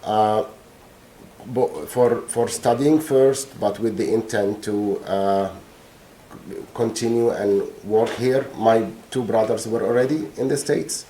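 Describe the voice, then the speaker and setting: Low-voiced, halting man. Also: mellow, low-quality recording. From Egypt. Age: middle-aged. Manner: halting, searching for words. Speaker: witness. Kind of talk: courtroom testimony. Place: courtroom.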